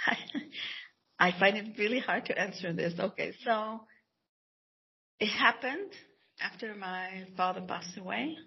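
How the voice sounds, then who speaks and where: Husky woman, halting speech. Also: medium pitch, dull audio. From Israel. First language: Hebrew. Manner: hesitant, many restarts and soft laughs. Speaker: guest writer and scholar. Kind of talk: interview, thoughtful casual talk.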